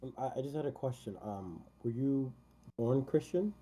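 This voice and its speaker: A man with a very dark, mellow tone calls in to a live debate. He asks pointed questions and often restarts his sentences. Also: mid-range voice. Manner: probing, halting questions. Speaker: caller.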